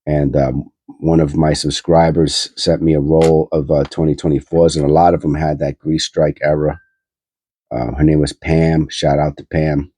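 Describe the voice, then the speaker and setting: Male host, congested and nasal, very deep and dark, husky, rambling casual coin talk. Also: little pitch movement, moderate pace, dry recording. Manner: rambling, filler-heavy, thinking aloud. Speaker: coin-hunting channel host. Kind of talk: casual coin roll hunting commentary.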